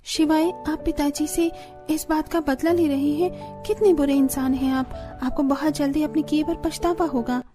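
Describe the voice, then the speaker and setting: A woman's voice: high voice, fairly even intonation, normal speed, over music, natural voice. A woman voicing an earnest, dutiful young daughter-in-law, caring but firm, in a cartoon story. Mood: reproachful. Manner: earnest, pleading. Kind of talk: Hindi cartoon story voice acting.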